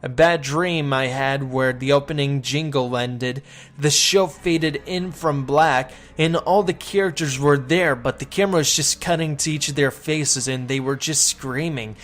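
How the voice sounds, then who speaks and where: A man's voice: mid-range voice, a little slow, fairly bright tone, natural voice. A man playing someone uneasily recalling a creepy childhood puppet show in a dramatic forum reading. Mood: haunted.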